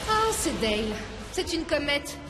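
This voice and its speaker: A woman's voice: high-pitched, big pitch swings, unhurried, music in the background. A woman playing an adoring, dreamy sweetheart in a French-dubbed children's cartoon, with tender, admiring delivery.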